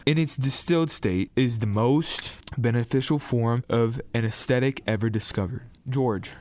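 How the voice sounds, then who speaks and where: A man's voice: medium pitch, a little mellow, no echo, lightly acted. A man acting a stern, scheming older lawyer father in a radio drama, speaking formally and insistently.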